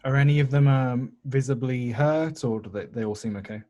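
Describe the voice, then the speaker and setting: A man's voice: mid-range voice, fairly even intonation, brisk, warm tone, dull audio. A man playing a tabletop game, discussing plans casually and often posing questions.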